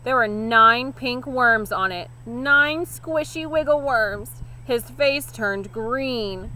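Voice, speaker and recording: Woman teacher reading a kids book, bright voice, super expressive, some background noise. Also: fairly high pitch, rough recording. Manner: animated, deliberate emphasis on key words. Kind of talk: expressive children's storybook narration.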